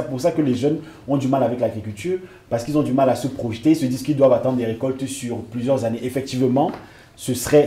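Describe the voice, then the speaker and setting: A man speaks as a guest on a televised panel discussion. His voice is very dark and mellow, and he makes his points in a measured, hesitant way, with repeated fillers. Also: medium pitch.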